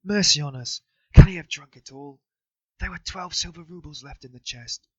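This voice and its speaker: A man's voice: on the high side, moderate pace, bright, forceful tone, slightly rough, pitched above the performer's own voice. A man voicing a frantic, impoverished mother who shrieks desperate accusations. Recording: dull, dry recording.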